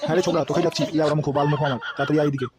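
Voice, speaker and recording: A man's voice: fairly high pitch, fairly even intonation, racing, over a noisy crowd, natural voice. A man voicing a loyal but blundering thug in a comedy cartoon, brash and swearing. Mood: scheming, bossy. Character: loyal, thuggish, blundering male henchman. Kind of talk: amateur comedy cartoon voice-over.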